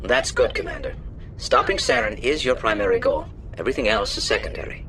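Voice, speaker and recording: Stern businesslike councilor guy, low voice, really swinging pitch, authoritative game dialogue. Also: sing-song, a little fast, a bit bright, slight background noise. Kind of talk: video game dialogue acting.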